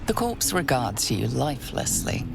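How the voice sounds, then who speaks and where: A woman acting as an ominous, measured narrator of a fantasy video game. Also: extremely low pitch, big pitch swings, gravelly, loud music behind the voice, full character voice. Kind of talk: scripted narration.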